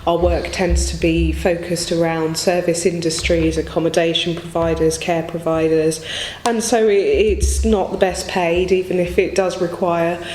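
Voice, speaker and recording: A woman's voice: lowish voice, level tone, normal speed, a little mellow. A woman explaining her work as an interview guest, informally, recorded in an office. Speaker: town coordinator, interview guest. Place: indoor office. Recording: roomy office with faint noise.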